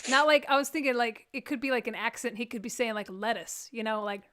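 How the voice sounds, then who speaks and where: A woman's voice: a little high, quick pace, a bit bright, slight background noise. A woman with a Texan accent hosting a live stream, chatting casually and joking in rambling asides. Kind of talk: casual comedic chat.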